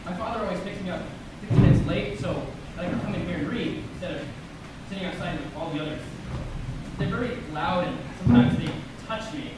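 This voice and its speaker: Actor as high-voiced earnest young man, echoey stage. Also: lively intonation, brisk, far from the mic. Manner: literal, over-explaining, earnest. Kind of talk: live stage play acting. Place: theatre stage, recorded from a distance. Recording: very echoey noisy stage recording from afar.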